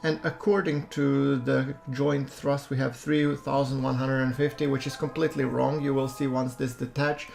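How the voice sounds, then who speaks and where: A man giving relaxed, chatty commentary over a space-game playthrough, with a soothing voice and self-deprecating asides. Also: medium pitch, moderate pace, creaky, few pauses, soft background music. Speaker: gameplay commentator. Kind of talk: casual let's-play commentary.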